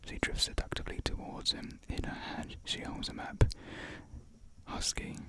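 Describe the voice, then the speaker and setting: A reader whispering the stage directions of a comic thriller script, laughing at their own slips. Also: normal speed. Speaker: narrator reading a stage script. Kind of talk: ASMR whispered script reading.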